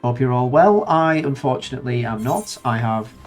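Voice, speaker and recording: A man casually commenting on a game playthrough with a wry, self-deprecating humor. His middle-pitched voice is nasal and a bit subdued from a bad cold. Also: lively intonation, normal speed, faint music behind. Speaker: gaming video host. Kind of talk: casual let's-play commentary.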